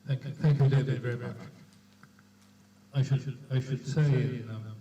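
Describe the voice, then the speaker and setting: A man's voice: medium pitch, fairly even intonation, normal speed, dark tone, muffled recording. Older man addressing a meeting, echoey and distant. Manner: hesitant, rambling, repeats words. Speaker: club chairman. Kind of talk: informal welcome speech at a club meeting. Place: echoey hotel meeting room. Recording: echoey room, distant mic, faint noise.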